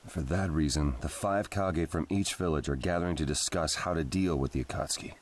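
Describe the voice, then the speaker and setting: An actor voicing an earnest, easily exasperated adult ninja officer in a video game dub. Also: male voice, very deep voice, fairly quick. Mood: serious. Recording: music under the dialogue.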